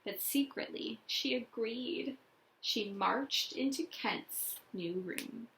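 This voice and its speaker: A woman teacher reading a children's storybook aloud with expressive, deliberate, dramatic phrasing. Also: on the high side, slight background noise, rough recording.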